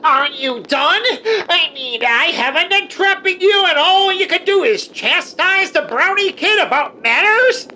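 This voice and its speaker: A gleeful, unhinged female villain in a comedic audio drama, sneering and cackling at everyone. The voice sits very high and sharp, and the lines are stretched out slowly. A faint hum of ambience lies behind it. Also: expressive, exaggerated voice. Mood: sarcastic. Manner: mocking, cackling, profane. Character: gleeful unhinged female supervillain who breaks the fourth wall.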